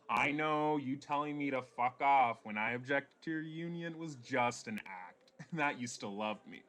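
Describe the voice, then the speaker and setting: A man acting a deluded, lovesick young ex-boyfriend in a radio play, pleading and melodramatic. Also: fairly high pitch.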